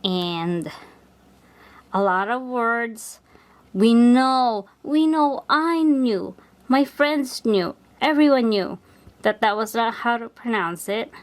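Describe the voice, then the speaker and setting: A woman with a Filipino accent talks slowly while she works, pausing often and thinking aloud. She chats like a cross-stitch vlogger doing a stitch-along at home, with an easy, unhurried pace. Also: fairly high pitch. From Philippines. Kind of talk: casual stitch-along chat.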